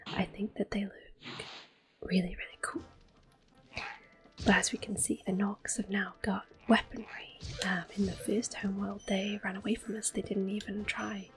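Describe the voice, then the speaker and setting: A woman speaking softly and whispering in a gentle, unhurried, rambling way as she gives casual ASMR commentary while playing a video game. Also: mid-range voice, little pitch movement. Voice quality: soft-spoken, whispery. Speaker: gaming video host. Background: game music and controller sounds.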